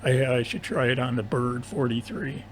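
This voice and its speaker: An American man in a casual, technical ham radio conversation, explaining in a halting, rambling way over an AM radio transmission. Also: medium pitch, steady tone. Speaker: amateur radio operator. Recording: noisy AM shortwave radio transmission.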